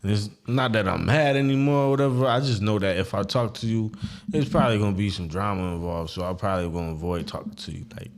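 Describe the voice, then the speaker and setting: Man, lowish pitch, podcast co-host, casual chat, slangy phrasing. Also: quick pace. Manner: slangy, laughs easily.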